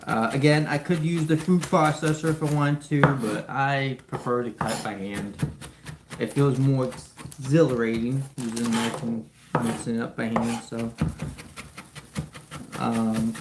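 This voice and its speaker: A man from Ukraine live-streaming from his home kitchen on a phone, chatting casually with viewers and explaining each cooking step as he goes. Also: medium pitch. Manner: chatty, greets viewers and explains steps while chopping. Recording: roomy kitchen with background noise.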